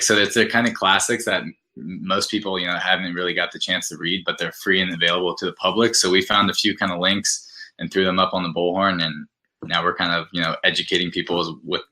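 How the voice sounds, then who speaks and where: A man's voice: deep voice, fairly even intonation, a little fast, pushed and bright. A man speaking as an interview guest, explaining his product in an enthusiastic, conversational way and laying out points one by one.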